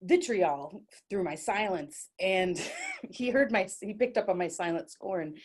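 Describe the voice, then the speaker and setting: A woman's voice: mid-range voice, lively intonation, measured pace, slightly rough. A middle-aged woman from Dallas telling a funny personal story on stage, chatty and self-mocking, full of likes and restarts. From Dallas, Texas. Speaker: solo performer. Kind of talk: comic storytelling monologue.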